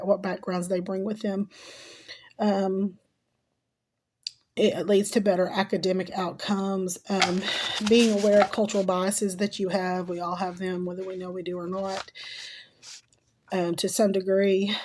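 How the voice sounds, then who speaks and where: A middle-aged woman who is ill and losing her voice answers course questions in a halting way, with many ums and restarts. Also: medium pitch, darkish tone. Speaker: teacher and graduate student. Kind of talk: recorded class assignment answers.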